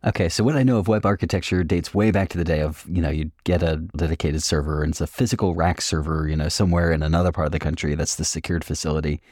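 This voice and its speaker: A man's voice: extremely low pitch, fairly even intonation, fast talker, hardly any pauses, a little mellow, no room sound, studio quality. A man hosting a podcast interview in a polished, presenterly style. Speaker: podcast host.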